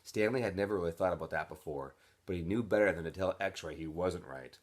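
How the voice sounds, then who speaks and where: A man's voice: sits low, a little fast. A man reading a children's novel aloud expressively, like a teacher reading to students. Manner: expressive, switches into character voices.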